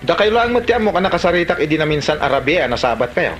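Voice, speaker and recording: A man's voice: a little high, big pitch swings, quick pace, over loud music. Man acting a brash Ilocano hothead, swooping voice. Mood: angry, menacing. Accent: Ilocano Filipino. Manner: boastful, threatening. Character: brash hot-headed grown son boasting about taekwondo. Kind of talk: Filipino comedy radio drama acting.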